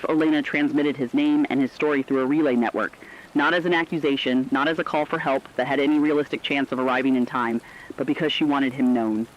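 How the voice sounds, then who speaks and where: A man playing a solemn, compassionate radio broadcaster, delivering a scripted monologue earnestly and deliberately. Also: medium pitch, highs cut off, a little hiss or hum, lightly acted. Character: solemn, compassionate male broadcaster chronicling a collapsing world.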